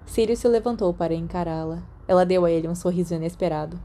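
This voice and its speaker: A woman's voice: lowish voice, fairly even intonation, fast talker, dark tone. A woman with a Brazilian accent reading a scripted story as an audiobook narrator. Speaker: podfic narrator.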